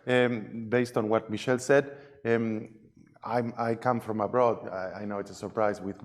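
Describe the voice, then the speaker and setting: A man's voice: on the low side, level tone. Argentine-accented man in a reverberant hall. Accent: Argentine Spanish. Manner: earnest, halting, eager to speak up. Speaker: class participant. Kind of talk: casual class discussion. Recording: long reverb in a large hall.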